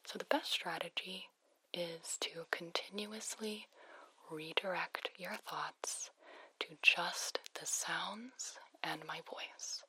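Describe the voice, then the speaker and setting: A woman whispers breathily through a phone voice effect, gently guiding a relaxation ASMR roleplay as a soothing sleep hotline specialist. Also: lowish voice, a little hiss or hum, rough recording. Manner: slow, soothing, gently instructive. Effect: phone voice filter.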